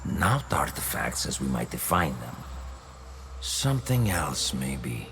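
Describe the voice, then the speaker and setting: An actor playing a cold, calculating villain in a video game, speaking with deliberate, imperious menace. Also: male voice, a bass voice, sing-song, creaky, a bit bright, soft background music, exaggerated voice. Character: cold, scheming Templar villain, a calculating man of ambition. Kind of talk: video game dramatic acting.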